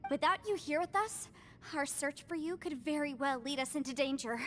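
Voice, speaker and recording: A woman voicing an earnest, urgent young female adventurer in a fantasy video game, speaking crisply and emphatically. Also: sits high, moderate pace, a bit bright, a little hiss or hum. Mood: urgent, explaining.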